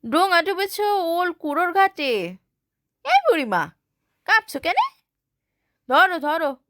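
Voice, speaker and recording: A woman voicing a rugged, weathered male river ferryman who speaks in rustic village talk, calm and commanding. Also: very high-pitched, slow, no room sound, pitched above the performer's own voice, heavily acted. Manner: rustic village speech, calling out orders.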